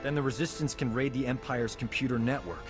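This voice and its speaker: A man's voice: medium pitch, fairly quick, music in the background, lightly acted. Man acting a wry rebel field doctor in reverb. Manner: wry, flippant. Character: wisecracking, dedicated male rebel field doctor. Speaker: Resistance field doctor character. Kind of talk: video game cutscene acting.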